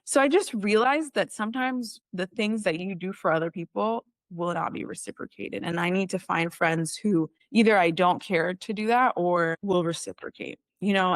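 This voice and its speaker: A woman's voice: mid-range voice, moderate pace, dry recording. A woman in her thirties from Israel with no accent, telling personal stories as a guest in a casual interview, filling her speech with "like" and "you know" and acting out what she said to people. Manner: chatty, heavy use of "like" and "you know", quoting herself.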